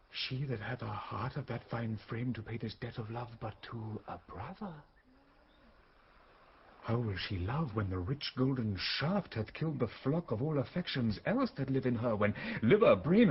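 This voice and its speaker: An actor playing a lovesick, melancholy duke, speaking rhapsodic poetic verse in a Shakespeare radio drama. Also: male voice, mid-range voice, muffled recording, slight background noise.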